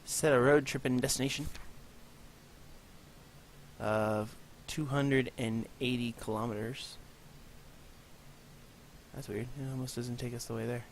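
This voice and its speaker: A man's voice: mid-range voice, steady tone, unhurried, pauses often, a little hiss or hum, poor audio. A man hosting a gaming channel, chatting casually in let's play commentary with a rambling, self-mocking manner, a bit congested from allergies, in an unpaneled room. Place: room with no acoustic paneling.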